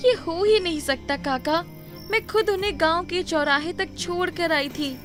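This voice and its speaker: A woman voicing an earnest, respectful young village girl in an animated moral story, speaking emphatically. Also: very high-pitched, moderate pace, music in the background, pitched above the performer's own voice. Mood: insistent, surprised.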